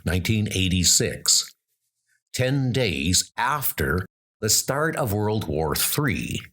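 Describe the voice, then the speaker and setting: A man voicing a thoughtful male schoolteacher turned historian who speaks in a measured, inquisitive way. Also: fairly low pitch, a bit bright, full character voice.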